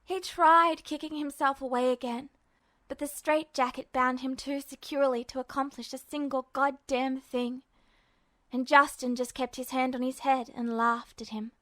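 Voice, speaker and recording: A woman's voice: sits high, fairly even intonation, moderate pace, a bit bright, no room sound. A woman narrator reading fiction in a dramatic audiobook style.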